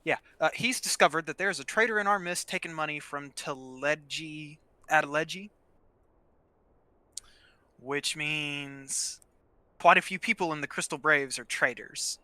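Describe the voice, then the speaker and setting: Bright, higher-voiced young man. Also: measured pace, dry recording. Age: young adult. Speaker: gaming co-host. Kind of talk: casual chat over gameplay.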